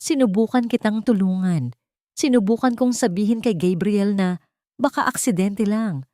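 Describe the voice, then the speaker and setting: A woman's voice: mid-range voice, normal speed, warm tone, no room sound, voice pushed up, lightly acted. Narrator lady as a fake-sweet scheming woman in an audio drama, raised sugary voice, dry sound. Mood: fake sympathy. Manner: sugary, falsely sympathetic.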